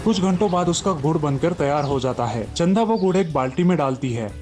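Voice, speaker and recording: A man's voice: a little high, animated, fairly quick, darkish tone, a little hiss or hum. A man telling a children's story as a scripted narration, over background music.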